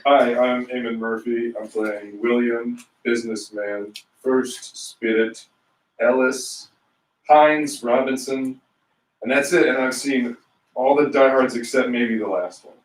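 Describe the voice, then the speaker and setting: A man's voice: mid-range voice, fairly even intonation, takes it slowly. A man, an actor, introducing himself in a casual way. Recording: roomy, distant microphone.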